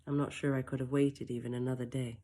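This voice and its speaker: Soft-spoken woman reading her own story. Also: very deep voice, flat delivery, fast talker. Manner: soft, emotional and reflective, with brief self-mocking asides. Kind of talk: first-person storybook reading.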